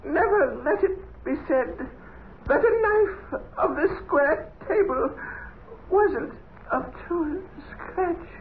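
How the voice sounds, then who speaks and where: An actor in a 1940s radio play voicing a gallant, old-fashioned penknife knight. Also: male voice, extremely high pitch, animated, slow, full character voice. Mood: weak, noble. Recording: muffled noisy 1940s radio broadcast.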